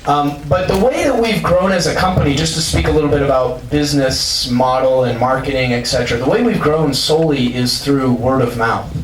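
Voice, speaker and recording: A man giving a casual conference talk to a live audience, loud and chatty, with many fillers and restarts. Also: mid-range voice, brisk, few pauses, slight background noise. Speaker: conference speaker. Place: large university lecture hall. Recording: echoey hall picked up from far off.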